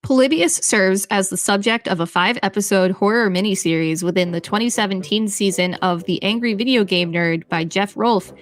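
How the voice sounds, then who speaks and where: A woman's voice: mid-range voice, normal speed, hardly any pauses. A woman co-hosting a podcast, reading an encyclopedia article aloud with care.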